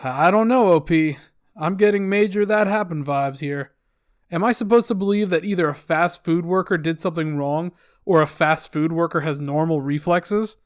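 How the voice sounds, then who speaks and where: A man's voice: high voice, no room sound, clean studio sound. A man reading online posts aloud, adding wry, sarcastic comments between them.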